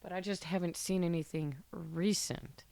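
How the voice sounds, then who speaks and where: A woman's voice: fairly low pitch, steady tone, moderate pace, vocal fry, a little mellow, a little hiss or hum. A woman taking part in a podcast with casual, conversational talk. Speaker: podcast participant. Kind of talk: casual chat.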